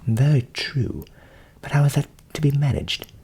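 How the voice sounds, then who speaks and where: A man's voice: medium pitch, animated, moderate pace, gravelly, a little mellow, husky. A man voicing a naive, cheerful, trusting young man in a storybook, with earnest exclamations. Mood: eager.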